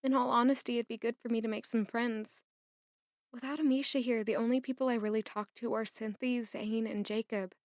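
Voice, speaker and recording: Actress as a high-voiced, lovestruck young maid. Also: female voice, fairly even intonation, brisk, darkish tone, no room sound, clean studio sound, natural voice. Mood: wistful, introspective. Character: young palace maid, lovestruck, unsure, anxious woman. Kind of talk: fantasy audio drama acting.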